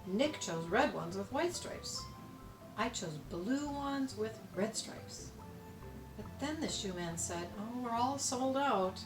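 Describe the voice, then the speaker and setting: A woman reading a children's storybook aloud expressively, with dramatic emphasis and deliberate pauses on key words. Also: medium pitch, expressive. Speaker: storybook reader. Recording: echoey room, distant mic, music behind.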